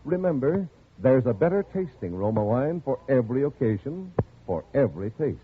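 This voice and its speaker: 40s radio ad guy, polished n emphatic, real singsongy pitch, tinny with some hiss. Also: big pitch swings, moderate pace. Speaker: radio announcer. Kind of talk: 1940s radio sponsor announcing, scripted. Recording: noisy, narrow-band old radio broadcast.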